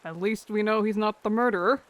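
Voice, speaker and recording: Man playing a high-voiced young bird-folk rogue. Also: fairly quick, lightly acted. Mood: concerned, gentle. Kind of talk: audio drama acting.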